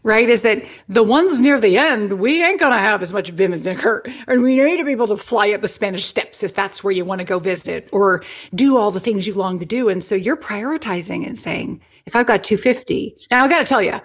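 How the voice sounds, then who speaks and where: A woman in her forties, a well-being researcher talking as a guest over a video call on a headset, explaining ideas in an animated, expressive way. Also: mid-range voice, a little fast, few pauses. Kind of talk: enthusiastic conversational interview. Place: home living room.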